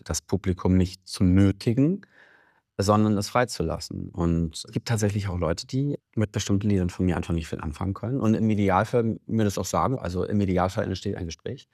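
A man, a songwriter and performer, speaking in a reflective, measured way as a radio interview guest, now and then stretching words for emphasis. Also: sits low, darkish tone, dry recording, studio quality. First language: German.

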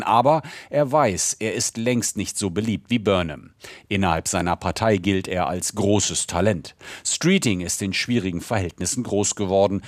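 A man's voice: low voice, dry recording, clean studio sound. A man narrating a scripted news report as a correspondent.

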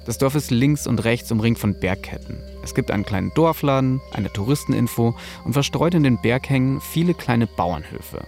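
A man's voice: mid-range voice, animated, normal speed, few pauses, a little mellow, faint music behind. A man narrating a scripted podcast as host, in a casual, personal storytelling manner.

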